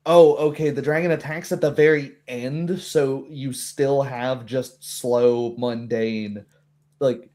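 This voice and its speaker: A man in casual, animated conversation about a tabletop game, talking as a game master and acting out imagined reactions in asides. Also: medium pitch, slow pace.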